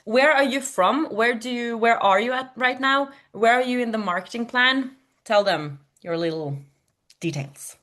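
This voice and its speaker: A woman hosting a business chat show, greeting and praising her guest with warm enthusiasm. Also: fairly high pitch, normal speed. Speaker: podcast host. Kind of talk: casual interview on a video call.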